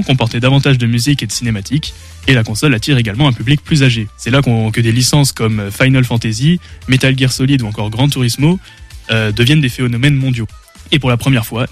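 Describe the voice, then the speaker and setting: A young man presenting a prepared gaming segment on student radio, reading a script with frequent hesitations. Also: medium pitch. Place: radio studio. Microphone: studio mic.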